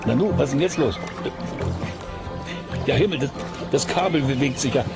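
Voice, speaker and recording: A man playing a curious, excitable, good-humoured explorer in a children's audio play, chattily exclaiming and muttering to himself. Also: mid-range voice, normal speed, over loud music, natural voice. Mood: alarmed, defiant. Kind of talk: German children's radio play acting.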